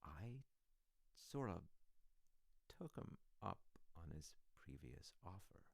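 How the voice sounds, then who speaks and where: A man playing a loving, sheepish husband in an intimate ASMR roleplay, talking in a halting, rambling, slightly sassy way. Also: deep voice, sing-song, vocal fry, no echo, full character voice. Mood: sheepish, amused. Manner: halting, rambling, hedging delivery.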